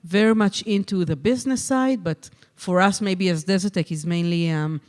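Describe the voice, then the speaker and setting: Convener at a conference, smooth clear tone, mid pitch, measured delivery with frequent filler pauses, speaking into a handheld mic in a hall. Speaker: session convener. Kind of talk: conference moderation and answers.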